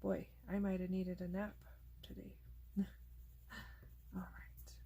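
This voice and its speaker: A woman host casually narrating a coloring tutorial, chatty and relaxed, thinking aloud as she works, and losing her voice. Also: lowish voice, slow, creaky, husky.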